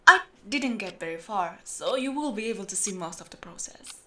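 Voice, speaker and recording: A woman playing a meticulous, secretive steampunk clock repairer in an audio roleplay, explaining things patiently and in a measured way to the listener. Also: mid-range voice, animated, bright, forceful tone, a little hiss or hum. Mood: patient, focused. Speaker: amateur voice actor.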